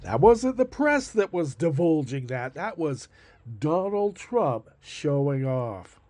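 A man's voice: on the high side, sing-song, slow pace, dark tone. A man hosting his own show in a sarcastic, rambling way, with a stuffed-up nose. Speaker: podcast host. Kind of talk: casual monologue.

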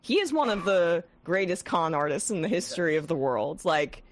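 A woman hosting a political talk show. She has a head cold with some vocal fry and talks in a scathing, animated way with frequent restarts. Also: lowish voice, moderate pace, no echo. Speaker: host.

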